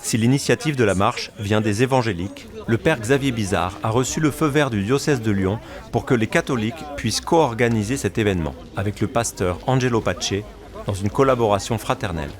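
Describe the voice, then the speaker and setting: Male reporter, low voice, scripted news narration, loud music underneath. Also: a little fast.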